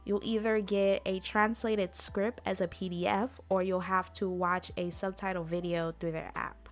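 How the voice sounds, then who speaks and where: A woman hosting an informal explainer talk in a chatty, conversational way, speaking directly to her listeners. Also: on the low side. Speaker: convention panel host.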